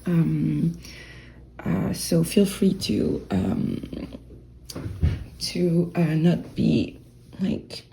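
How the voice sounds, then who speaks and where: A young woman with a French accent, a native French speaker, giving a spoken tarot reading in a soft, hesitant way, often dropping to a whisper and searching for words. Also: low-pitched, steady tone, drawn-out words, vocal fry, pauses often, slight background noise, low-quality recording. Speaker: tarot reader.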